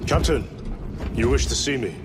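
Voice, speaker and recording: Guy as a proud marine sergeant in a game, formal and earnest, animated pitch, loud score. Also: normal speed, over music, close to an everyday voice. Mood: respectful. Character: respectful, proud, wary space marine sergeant. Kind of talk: cinematic sci-fi game acting. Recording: loud cinematic score behind.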